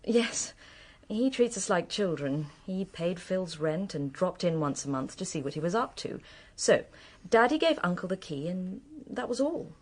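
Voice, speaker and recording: A woman's voice: fairly low pitch, very animated, a little slow. An actress playing a well-bred, matter-of-fact young British woman in a radio drama.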